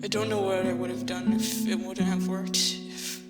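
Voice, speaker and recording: A woman playing a subdued, guilt-ridden young child in an audio drama, speaking in a quiet, halting way. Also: fairly low pitch, flat delivery, reverberant, over loud music, lightly acted. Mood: quiet, grateful.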